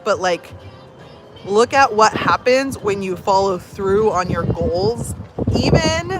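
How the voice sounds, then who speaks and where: A woman's voice: mid-range voice, fairly bright tone, low-quality recording. A woman in her thirties, a personal growth coach, chatting casually to camera with many fillers. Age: 30s. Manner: chatty, many fillers, reads comments aloud.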